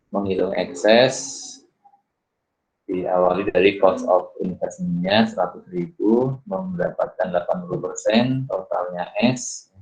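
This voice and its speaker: A middle-aged man with a very deep voice works through exam problems for his students. He moves unhurriedly, tagging his phrases with "ya" and stopping for "eh". The recording sounds dull and muffled. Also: a little mellow. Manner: unhurried, fills pauses with "ya" and "eh". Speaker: accounting lecturer.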